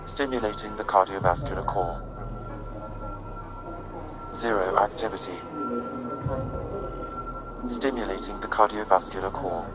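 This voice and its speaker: An actor voicing a calm, precise male AI assistant in a video game, even and clinical. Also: sits low, unhurried, long pauses, over loud music.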